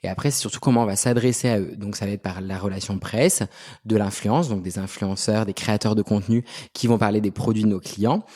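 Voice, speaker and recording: A man's voice: on the low side, fairly even intonation, brisk, warm tone. A man in his thirties from Brittany, chatting as an interview guest with many fillers and restarts. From Brittany, France. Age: 30s. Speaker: guest, pet specialist and agency head. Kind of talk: casual interview talk.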